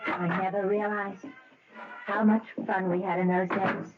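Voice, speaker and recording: A woman's voice: mid-range voice, little pitch movement, measured pace, over loud music, lightly acted. A middle-aged woman playing an anxious, wistful wife in a radio mystery drama, hesitant and emotional, often breaking her phrases. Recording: muffled, dull old radio recording.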